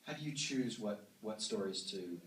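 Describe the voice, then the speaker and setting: A man's voice: fairly low pitch, lively intonation, normal speed, a bit bright. Echoey male moderator speaking hesitantly on stage. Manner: hesitant, joking. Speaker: onstage moderator. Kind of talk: informal interview introduction. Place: festival stage with audience. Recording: echoey room, distant, some background noise.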